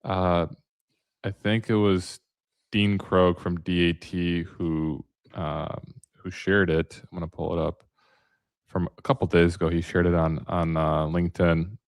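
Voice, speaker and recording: Chill guy hosting a podcast, deep voice, dry close sound. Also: level tone, unhurried, dry recording. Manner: easygoing, reads posts aloud. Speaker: podcast host. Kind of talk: casual freight market chat.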